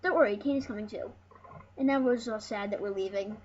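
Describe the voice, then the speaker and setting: An excitable, rambling host giving casual, jokey commentary over a video game. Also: medium pitch, quick pace. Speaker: host of a gaming channel. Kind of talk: casual let's play commentary. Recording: dull lo-fi mic with loud noise.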